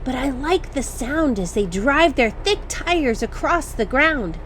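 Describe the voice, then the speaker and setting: A woman voicing a cheerful, noisy delivery truck in a picture book read-aloud, talking loud and drawn out. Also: high-pitched, expressive, a little slow. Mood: rumbling, cheerful.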